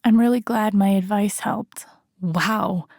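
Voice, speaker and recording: A woman's voice: medium pitch, slow, a little mellow, dry recording, studio quality, natural voice. A woman playing an earnest, wry, vulnerable young video game heroine, in an introspective, understated inner-monologue style. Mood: wry, casual. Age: young adult.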